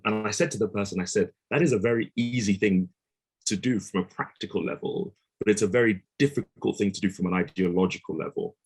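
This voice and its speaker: A man's voice: lowish voice, slightly rough, poor audio. A man on a panel speaking thoughtfully and casually, with filler words now and then. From African diaspora. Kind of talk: casual discussion. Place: London.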